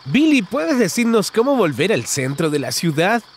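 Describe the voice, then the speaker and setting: A man's voice: sits high, very animated, moderate pace, a little hiss or hum. A man narrating a children's cartoon in an exaggerated, singsong way, talking to the characters.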